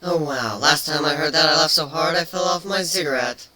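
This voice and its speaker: A man voicing a playful, teasing female changeling pony in a cartoon fan fiction reading, matter-of-fact even with mock threats. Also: on the high side, fairly quick, voice pushed up. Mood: chatty, teasing.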